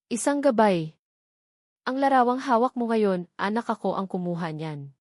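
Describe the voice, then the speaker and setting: A woman's voice: medium pitch, normal speed, a little mellow, no echo, studio quality, close to an everyday voice. Woman as a tender, earnest mother. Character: loving late mother reading her farewell letter.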